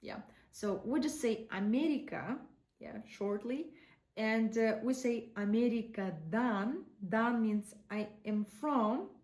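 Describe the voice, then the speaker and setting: A woman from Kazakhstan with a Kazakh accent, teaching her language in an online lesson, explaining slowly and repeating words for practice. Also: a little high, a little mellow, roomy sound.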